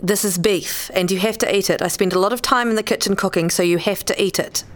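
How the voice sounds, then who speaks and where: A woman's voice: mid-range voice, fast, close to an everyday voice. A woman playing a stern mother who speaks in firm, terse, scolding phrases. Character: stern, curt wife and mother of two girls.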